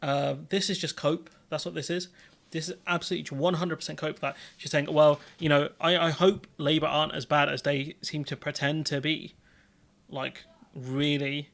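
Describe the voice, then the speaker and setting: A man's voice: a little high, fairly bright tone. Exasperated man ranting about politics. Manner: exasperated, sarcastic ranting with many restarts. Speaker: livestream host. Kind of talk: political commentary livestream.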